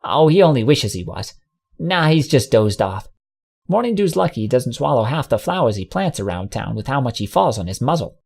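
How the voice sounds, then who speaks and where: A man's voice: mid-range voice, sing-song, brisk, gravelly, a little mellow, breathy edge, full character voice. A man putting on a brash folksy pony mare. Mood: blunt teasing. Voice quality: folksy, blunt, teasing drawl.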